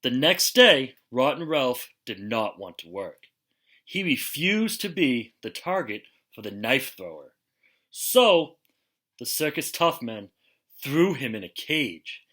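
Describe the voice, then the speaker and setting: A man reading a children's picture book aloud expressively, with deliberate, dramatic emphasis and pauses. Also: mid-range voice, sing-song, sharp tone. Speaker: storybook reader.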